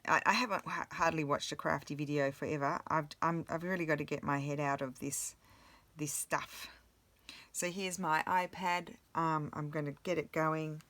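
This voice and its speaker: A woman vlogging while she crafts, chatting casually and thinking aloud, her voice a little croaky from the morning. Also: sits low, fairly even intonation, normal speed, a bit bright. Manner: rambling, self-interrupting, thinking aloud.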